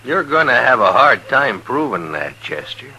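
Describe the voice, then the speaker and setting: A blunt, suspicious frontier townsman, animated. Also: mid-range voice, moderate pace, a little hiss or hum, full character voice, poor audio. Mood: accusing. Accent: American. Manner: blunt, insistent. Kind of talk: 1950s radio western acting. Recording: old radio drama recording.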